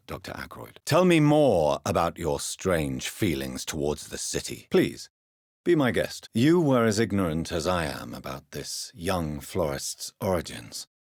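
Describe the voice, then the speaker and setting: A male actor with an English accent playing a grave, courteous gentleman doctor turned vampire in a video game, with formal, measured diction and a husky voice. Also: low voice, dry recording, clean studio sound. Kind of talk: dramatic video game dialogue.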